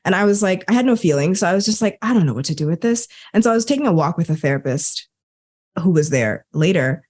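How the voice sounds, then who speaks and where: A woman's voice: on the low side, lively intonation, fast talker, a little mellow. A woman guest on a podcast, an Enneagram coach, chatting casually and acting out her own quoted thoughts. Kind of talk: casual conversational storytelling.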